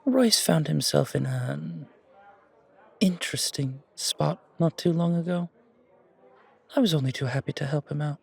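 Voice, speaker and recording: A man voicing a refined, gracious and quietly enigmatic confidant in an audio roleplay, with polished, deliberate, theatrical phrasing. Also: on the high side, gravelly, husky, exaggerated voice. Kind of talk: fan audio roleplay acting.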